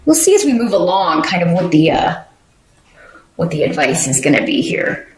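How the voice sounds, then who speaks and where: A woman's voice: on the low side, very animated, normal speed, reverberant, rough recording. A woman giving a casual tarot reading, rambling with many fillers and restarts. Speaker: tarot reader.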